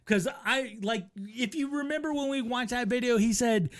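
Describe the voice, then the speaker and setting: High-pitched American man telling rambling stories. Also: normal speed. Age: middle-aged. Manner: profane, animated, acts out his remarks. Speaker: storyteller, host. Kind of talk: casual rambling comic storytelling.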